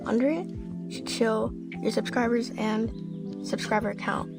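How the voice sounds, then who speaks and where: A woman's voice: on the high side, measured pace, creaky, over loud music. A woman talking casually through a screen-recorded tutorial, one step at a time. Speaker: tutorial maker.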